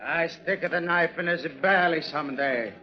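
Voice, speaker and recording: Fiery little ship's cook, male actor: Italian accent, broken English, raised pitch, vengeful, muffled 1940s radio drama. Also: normal speed, lightly acted. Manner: broken English, refers to himself by name.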